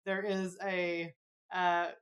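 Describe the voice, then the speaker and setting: A woman's voice: fairly low pitch, level tone, drawn-out words, smooth. A woman hosting a casual interview, effusive and encouraging toward her guest. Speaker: podcast host.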